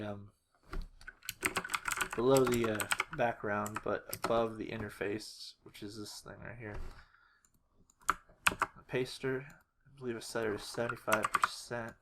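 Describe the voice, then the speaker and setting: Someone giving an informal editing walkthrough, talking haltingly and muttering to themselves while working. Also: medium pitch, level tone. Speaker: tutorial creator. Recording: noisy home recording, keyboard and clicks. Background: mouse clicks and keyboard typing.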